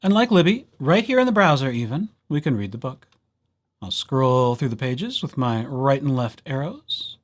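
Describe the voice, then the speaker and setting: Playful man with lively, swooping intonation. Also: medium pitch, moderate pace. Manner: playful, wry, chatty asides. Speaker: librarian presenting a tutorial series. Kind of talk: casual screen-share software walkthrough.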